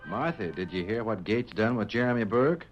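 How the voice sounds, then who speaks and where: An actor playing an astonished homesteader husband with an American accent in an old-time radio western. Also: male voice, on the low side, lively intonation, fairly quick, loud music behind the voice, close to an everyday voice. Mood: surprised. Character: astonished low-voiced frontier homesteader husband. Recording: dull vintage radio recording.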